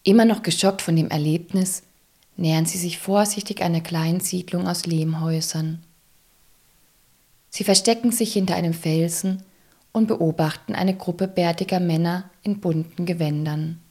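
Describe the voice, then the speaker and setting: Lowish-voiced female audio drama narrator. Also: fairly even intonation, normal speed, warm tone.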